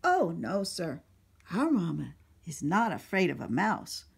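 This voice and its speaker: A woman's voice: on the low side, sing-song, measured pace, creaky, breathy edge, exaggerated voice. A woman voicing lost young children who earnestly insist on how wonderful their mother is.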